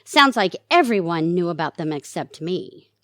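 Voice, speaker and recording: A woman acting in an audio drama as a bossy, scornful middle-aged wife and mother with a sharp tongue. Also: on the low side, very animated, moderate pace, no room sound, clean studio sound. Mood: scornful, argumentative.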